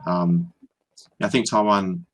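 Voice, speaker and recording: A young Australian man on a video call, tutoring in a relaxed, hesitant way with many ums and restarts. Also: deep voice, slow pace. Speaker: online English tutor. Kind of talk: casual video-call conversation.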